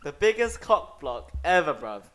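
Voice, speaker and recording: A man's voice: a little high, measured pace. A young man with a London accent, co-hosting a casual podcast chat and telling stories in slangy, sweary talk. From England. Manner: slangy, swears freely, animated storytelling. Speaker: podcast co-host.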